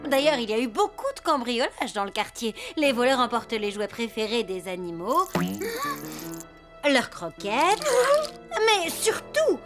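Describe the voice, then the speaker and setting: A woman's voice: fairly high pitch, very animated, a little slow. A woman voicing a sassy, mocking female blackbird with a teasing, theatrical manner in a French cartoon. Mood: scolding, ominous. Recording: cartoon music behind.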